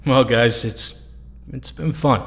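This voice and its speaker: A man playing a warm, encouraging young hero in an audio drama, talking casually like a good buddy. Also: mid-range voice, slow pace. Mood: wistful. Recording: long hall reverb.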